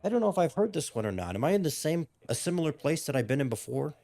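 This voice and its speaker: A man's voice: on the high side, very animated, fast talker, vocal fry, dark tone. Slightly ill man rambling over gameplay. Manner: rambling, hesitant, with frequent restarts and fillers. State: a little ill, losing his voice. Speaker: gaming YouTuber. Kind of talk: casual playthrough commentary.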